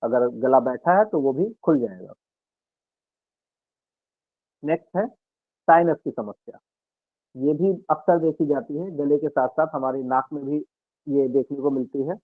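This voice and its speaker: A man's voice: mid-range voice, normal speed, no echo. Man giving health advice over tinny radio. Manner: calm, explanatory, addresses listeners directly. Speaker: Ayurveda health expert. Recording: narrow dry radio broadcast sound.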